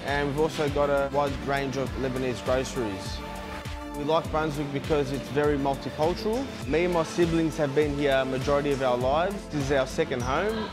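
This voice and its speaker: A man giving a promotional testimonial as a small bakery owner. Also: medium pitch. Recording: music behind the voice. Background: music.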